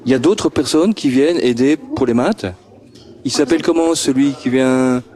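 A man puts friendly questions to a group of children, speaking into a handheld microphone. He keeps stumbling over and repeating his words and breaks into chuckles. His voice sits at an ordinary middle pitch. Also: normal speed, dark tone. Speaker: interviewer at a homework-help group. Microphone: handheld microphone passed around.

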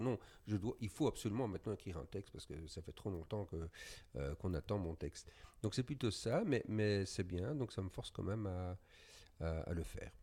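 A man in a writing collective, talking in a round-table discussion in a wry, halting way with gentle self-mockery. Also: on the low side.

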